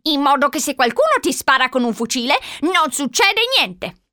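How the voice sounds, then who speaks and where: A woman voicing a cranky old mountain witch, shrill and exclamatory, pleading and threatening in an audiobook story. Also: sing-song, normal speed, bright, forceful tone, no echo, clean studio sound, exaggerated voice. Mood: coaxing. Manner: exclamatory, cajoling.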